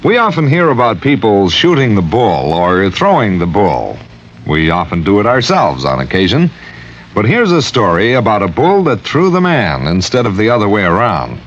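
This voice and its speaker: Low-voiced, animated radio announcer. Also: male voice, normal speed. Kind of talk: scripted radio storytelling narration. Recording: dull, faintly noisy old radio recording.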